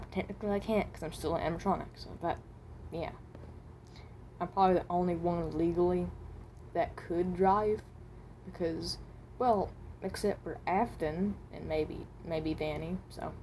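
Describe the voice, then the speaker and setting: A haunted animatronic with the soul of a seventeen-year-old, voiced by a woman, speaks in a slightly low voice. The delivery is awkward and guarded, wandering through hesitations and unfinished thoughts like an improvised amateur audio drama. A light layer of noise sits on the home recording. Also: a little mellow. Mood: unsure, musing. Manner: hesitant, rambling, trailing off. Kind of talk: amateur character voice acting, improvised monologue.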